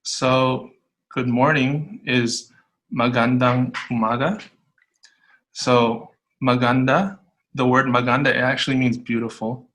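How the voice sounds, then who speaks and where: Man, young adult, bright tone, flat intonation, relaxed with fillers, school morning show guest. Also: medium pitch, slow, poor audio. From United States. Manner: friendly, relaxed, with fillers and restarts. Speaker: school tech support guest. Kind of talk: casual interview answers.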